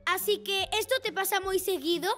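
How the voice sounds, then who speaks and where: A woman voicing an eager, polite little boy rescue motorcycle in an animated children's dub. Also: extremely high pitch, fairly quick. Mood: curious. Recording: cartoon music behind.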